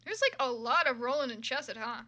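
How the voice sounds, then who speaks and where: Bright, high-voiced woman chatting on livestream. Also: normal speed. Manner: sweary, exclaiming, self-deprecating. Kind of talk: casual livestream chat, reacting to fan fiction.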